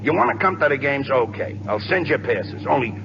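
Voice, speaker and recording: A man's voice: medium pitch, expressive, a little fast, vocal fry. A man playing a brash, good-hearted, slangy big league ballplayer in an old-time radio comedy. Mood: chummy, frank. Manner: streetwise slang, wisecracking. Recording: noisy muffled old radio broadcast recording.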